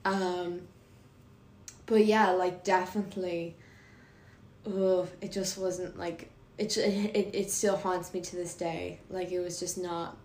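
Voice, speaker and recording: A woman's voice: lowish voice, takes it slowly, a little hiss or hum. Chatty young woman in a roomy room. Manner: animated storyteller, many fillers. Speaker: podcast co-host. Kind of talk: casual chat. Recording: roomy echo.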